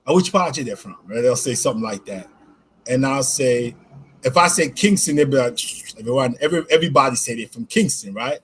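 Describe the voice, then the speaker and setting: A man's voice: mid-range voice, expressive, brisk, slight background noise, low-quality recording. A man born in Jamaica and raised in the US, talking loosely in a casual interview with lots of "you know" and "right?". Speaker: podcast co-host being interviewed.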